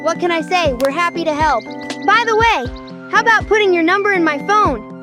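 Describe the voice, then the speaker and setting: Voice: extremely high pitch, lively intonation, normal speed, music in the background. An actor voicing an upbeat, polite and talkative child hero in a cartoon comic dub. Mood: friendly, eager. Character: chatty, upbeat, polite plucky kid hero.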